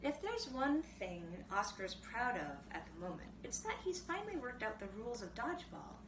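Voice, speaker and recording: Woman reading a kids story, really lively pitch, kinda far from the mic with noise behind. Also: normal speed. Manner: expressive, acts out the characters' dialogue. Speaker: publishing director reading a children's story aloud. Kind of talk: animated storybook read-aloud. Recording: roomy, distant mic, clearly noisy background.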